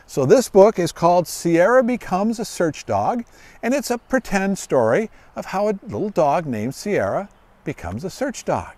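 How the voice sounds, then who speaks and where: A middle-aged man reading a children's storybook aloud outdoors in a deliberate, expressive way. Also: high voice, no echo. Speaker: guest author reading own children's book. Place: outdoors on a grassy lawn by trees.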